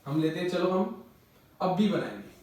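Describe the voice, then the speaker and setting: A man's voice: high voice, sing-song, moderate pace, a little mellow. A man teaching a maths lesson, explaining patiently with everyday examples and repeating the key words. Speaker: maths tutor. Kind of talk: classroom lecture. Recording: echoey room, mic far off.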